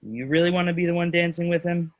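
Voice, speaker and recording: A man's voice: sits high, fairly even intonation, fast, dull audio, natural voice. A man playing a practical, skeptical young friend in a staged reading, dry and teasing. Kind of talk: staged reading of children's theater.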